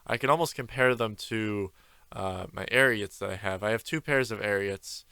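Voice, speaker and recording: Young guy doing a solo podcast, rambly and loose, bright voice, dry close sound, faint music behind. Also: lowish voice, normal speed, clean tone, no room sound. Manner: rambling, freestyle with many fillers. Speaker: podcast host. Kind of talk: casual solo podcast chat. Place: home basement with concrete walls. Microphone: desk microphone on camera.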